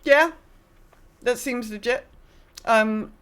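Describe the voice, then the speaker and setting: A woman's voice: a little high, slow pace, fairly bright tone, clean tone. Woman podcast co-host, informal and opinionated. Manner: opinionated, informal, mild swearing. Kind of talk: casual chat about a video game.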